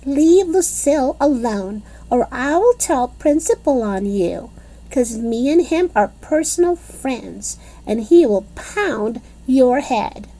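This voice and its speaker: A woman voicing a bossy, excitable little kindergarten girl who talks loudly with childish grammar. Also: high voice, takes it slowly, voice pushed up, heavily acted, rough recording.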